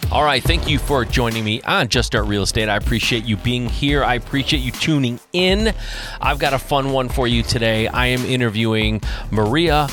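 A man's voice: mid-range voice, normal speed, fairly bright tone, soft background music. A middle-aged man from Michigan hosting a podcast interview in an upbeat, chatty way with many fillers. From Michigan, USA. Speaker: podcast host. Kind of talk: casual interview.

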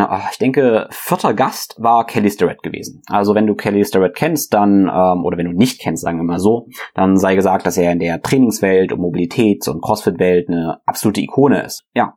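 Young guy doing a solo podcast, earnest, lots of ähm and repeats, lowish voice. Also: darkish tone. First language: German. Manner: earnest, self-reflective, frequent fillers and repeated words. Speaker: podcast host. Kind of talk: reflective solo podcast monologue.

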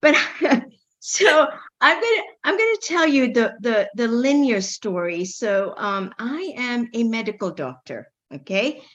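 A middle-aged woman from Kenya, a medical doctor telling her story in a casual interview, animated, often repeating words with playful asides. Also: fairly high pitch, measured pace, dull audio.

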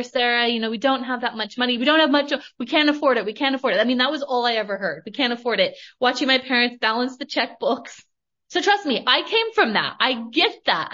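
A woman's voice: a little high, bright, forceful tone, muffled recording. A woman in her thirties from Northern California, hosting a podcast and telling her story in an emphatic, motivational way, repeating phrases and swearing mildly. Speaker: podcast host.

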